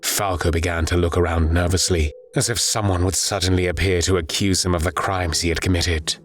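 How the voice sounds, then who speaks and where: A man narrating a dark war story in a grave, deliberate, dramatic audiobook style. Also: low voice, fairly even intonation.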